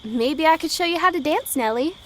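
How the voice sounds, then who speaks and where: A woman acting a brave, polite but defiant high school girl in a period audio drama, with folksy speech. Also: animated, a little fast, fairly bright tone, a little hiss or hum. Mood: teasing, playful. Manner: folksy dialect grammar, plucky. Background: crickets and night insects.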